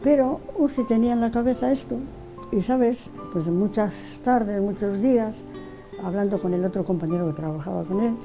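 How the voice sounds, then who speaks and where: A woman's voice: medium pitch, normal speed, music in the background, low-quality recording. An older woman being interviewed, telling old memories in a rambling way, often repeating words and restarting. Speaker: interviewee, widow of the artist. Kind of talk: casual reminiscing in an interview.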